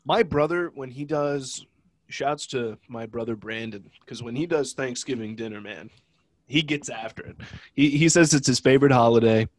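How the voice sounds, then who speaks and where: A man's voice: medium pitch, moderate pace, dry recording. Congested, nasal man chatting casually. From Ohio. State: congested from a cold. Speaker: podcast co-host. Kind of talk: casual chat.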